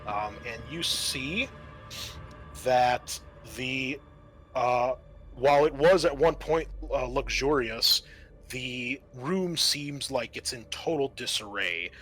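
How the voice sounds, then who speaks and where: A man with a bright, clear-edged voice works as a game master. He describes the scene in a conversational, stop-and-start way, improvising as he goes. Faint music plays under his voice. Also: medium pitch, lively intonation, slow pace, low-quality recording. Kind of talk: improvised tabletop game narration.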